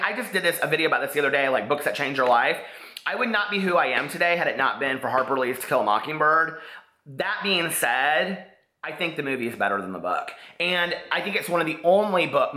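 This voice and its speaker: American man, forties, very bright sharp voice, slightly high pitch, rambling casual vlog, echoey living room. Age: 40s. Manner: excitable, rambling, peppers talk with "like". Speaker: book vlogger. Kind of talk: casual chatty vlog to camera.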